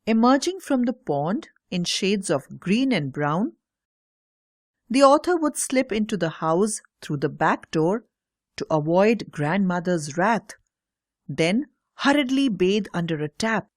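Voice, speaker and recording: Woman storyteller with very lively pitch. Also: slow pace, no echo, studio quality. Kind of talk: scripted children's story narration.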